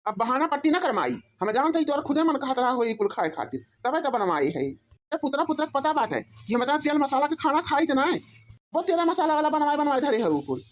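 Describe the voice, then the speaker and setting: A woman plays a spoiled, tyrannical young man in a cartoon comedy. The voice is fairly high and swings widely in pitch. He scolds haughtily in an Awadhi accent. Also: sing-song, fairly quick, muffled recording. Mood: accusing. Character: arrogant, tyrannical spoiled younger brother-in-law, adult man.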